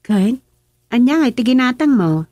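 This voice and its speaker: A woman's voice: fairly high pitch, very animated, normal speed, a little mellow, no room sound. A woman acting as an eager, inquisitive young village woman in a radio comedy drama, with an Ilocano Filipino accent. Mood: curious.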